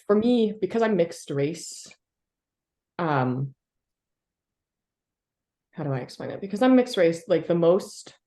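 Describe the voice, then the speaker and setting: A woman with a notably low voice speaks as an interview guest and historian. She talks in a reflective, halting way, pausing often to search for words. She is from the United States. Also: animated. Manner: thoughtful, halting, many fillers and soft laughs.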